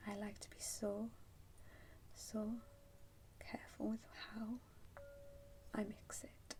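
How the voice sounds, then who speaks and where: A woman whispering softly and breathily in an ASMR roleplay, pausing often as she addresses the listener as a customer. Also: medium pitch, completely flat delivery. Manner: slow, pausing, unhurried. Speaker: ASMR performer playing a soup shop keeper.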